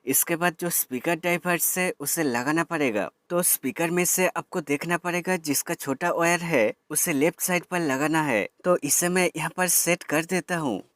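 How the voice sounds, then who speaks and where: A man's voice: fairly high pitch, moderate pace, few pauses, fairly bright tone, no echo. A man narrating a step-by-step product tutorial in an instructional way, addressing viewers as friends and mixing in English words. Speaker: tech reviewer giving an installation tutorial.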